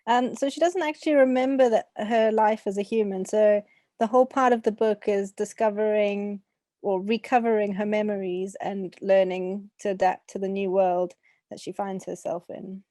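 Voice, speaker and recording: A young woman with a South African accent answers questions as an author in a relaxed interview. Her tone is dark and mellow at a medium pitch. The sound is dry, with very little room in it. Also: normal speed, no echo. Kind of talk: casual interview talk.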